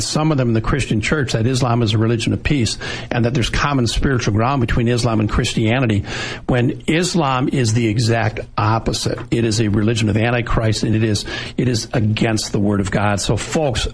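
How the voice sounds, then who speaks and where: Gravelly-voiced preachy male radio host. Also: mid-range voice, a little fast, poor audio. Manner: earnest, preachy, exhorting listeners.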